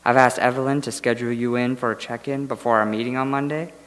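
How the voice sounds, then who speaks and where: Echoey hall, man playing suave sinister dentist, polite, insinuating, measured, radio drama. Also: mid-range voice, steady tone, a bit bright, natural voice. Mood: genial, businesslike. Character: smooth, quietly menacing male dentist running a suspect practice. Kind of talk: stage radio drama acting. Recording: echoey hall, live stage performance.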